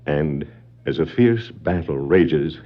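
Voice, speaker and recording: A man's voice: a bass voice, expressive, takes it slowly, heavy background noise. A man giving dramatic scripted narration for a 1960s superhero adventure record. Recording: dull vintage vinyl record, music behind.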